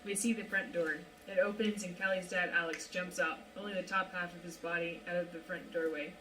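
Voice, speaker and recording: A woman's voice: on the low side, fairly even intonation, brisk. A woman reading stage directions as scripted narration at a live table read. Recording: roomy, distant mic, clearly noisy.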